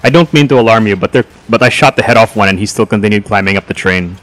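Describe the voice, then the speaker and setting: A man's voice: fairly low pitch, quick pace, fairly bright tone. A man joking wryly and swearing freely while playing a co-op game with friends. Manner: wry, jokey, swears freely. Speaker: channel host. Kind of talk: casual co-op gaming chat.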